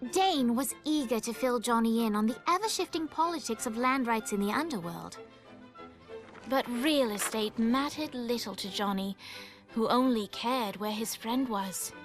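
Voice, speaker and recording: A female storybook narrator for a darkly comic video game. Her delivery is measured and literary, in a fairly high voice. Music runs clearly underneath.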